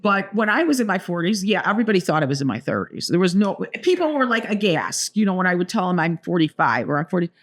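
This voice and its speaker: A woman's voice: fairly low pitch, fast talker, few pauses. Woman in her seventies, podcast co-host, chatty storyteller, voice leaps up and down. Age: 70s. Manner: chatty, animated storyteller with playful asides. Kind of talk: casual chat.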